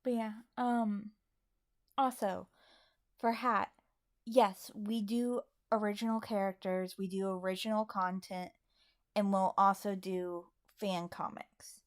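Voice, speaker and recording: A woman's voice: medium pitch, flat delivery, slow pace, dry recording, clean studio sound. A woman co-hosting a casual livestream, chatting and answering questions from viewers. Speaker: co-host of a livestream.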